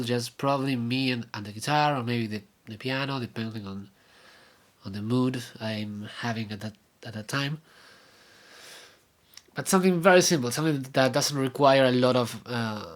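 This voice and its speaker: A man's voice: medium pitch, fairly bright tone. Argentine man rambling in a casual update. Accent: Argentine Spanish. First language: Spanish. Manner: rambling, halting, with many fillers and restarts. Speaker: vlogger and podcaster.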